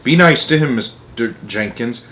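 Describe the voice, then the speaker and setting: Man playing an elderly grandmother, storybook character, unruffled, sly, matter-of-fact, lively melody. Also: medium pitch, measured pace. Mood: firm. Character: calm, sly old Norwegian grandmother.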